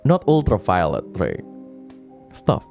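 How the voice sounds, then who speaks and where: Mellow low-voiced streamer, rambling. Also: male voice, slow pace, vocal fry, faint music behind. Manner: relaxed, rambling, frequent laughs and restarts. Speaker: virtual streamer. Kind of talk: casual late-night chat stream.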